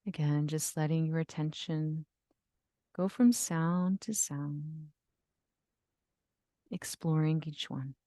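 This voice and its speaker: A woman leading a guided yoga nidra relaxation, giving gentle, soothing instructions with long pauses. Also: sits low, steady tone, no echo, studio quality. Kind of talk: guided meditation.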